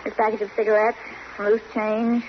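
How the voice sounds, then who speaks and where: A woman's voice: mid-range voice, flat delivery, fairly quick, close to an everyday voice. An actress playing a plucky, skeptical American woman reporter with dry quips in an old-time radio crime drama. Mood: curious, chatty. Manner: quipping, dry. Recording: dull, hissy old radio recording.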